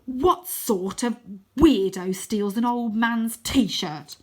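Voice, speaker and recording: A woman's voice: fairly high pitch, drawn-out words, pitched above the performer's own voice. A woman voicing an earnest young boy in a storybook, scared but plucky and polite. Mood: indignant. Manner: hesitant, earnest pleading.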